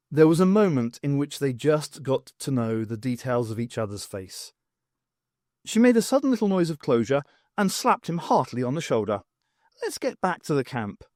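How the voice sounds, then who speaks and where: A man reading fiction aloud as an audiobook narrator, in an expressive storytelling style. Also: a little high, no echo, studio quality.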